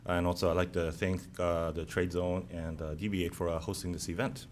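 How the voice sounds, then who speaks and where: This is a man in his thirties, a native Japanese speaker with a Japanese accent, giving a seminar presentation to a room of listeners. His voice sits a little low. He speaks haltingly and fills his pauses with "uh". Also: flat delivery, a little hiss or hum. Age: 30s. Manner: halting, many "uh" fillers.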